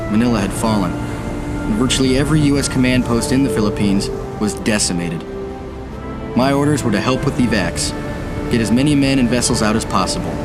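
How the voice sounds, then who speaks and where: An actor playing a brash, hot-headed young American naval officer in a war game cutscene. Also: male voice, medium pitch, close to an everyday voice. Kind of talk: game cutscene acting and first-person war narration. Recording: loud music behind.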